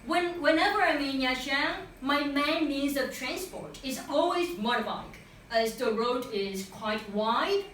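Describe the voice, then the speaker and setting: A young woman with a Vietnamese accent speaks high and animated, her pitch rising and falling freely. She presents to a class in earnest, pausing and restarting at times. She sounds far from the mic, in a very echoey room with faint noise. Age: young adult. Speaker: student presenter.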